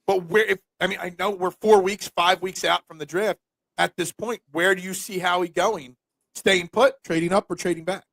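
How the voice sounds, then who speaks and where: A man hosting a casual sports podcast, talking loudly and energetically, stumbling over repeated words when excited. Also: high-pitched, normal speed, vocal fry, no echo. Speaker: podcast host.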